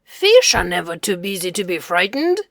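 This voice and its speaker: A woman voicing a large, growly, boastful male bear in a read-aloud storybook, speaking gruffly and stubbornly. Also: on the low side, big pitch swings, normal speed, dry recording, studio quality, voice pushed down, exaggerated voice.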